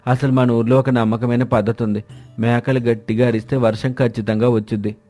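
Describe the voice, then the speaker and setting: A man's voice: mid-range voice, flat delivery, brisk, warm tone, faint music behind. A man reading scripted dialogue for village characters in a moral story. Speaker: story voice actor.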